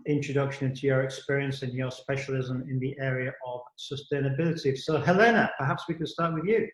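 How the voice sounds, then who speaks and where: A man hosting an informal online panel over a video call, phrasing things tentatively in thin, echoey audio. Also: a little high. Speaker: panel host.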